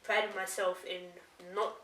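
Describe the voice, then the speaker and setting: A woman's voice: mid-range voice, little pitch movement, takes it slowly, pushed and bright, recorded from a distance. A woman chatting casually as an interview guest, using plain, informal words. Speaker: guest, author. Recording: echoey room, off mic, faint hiss.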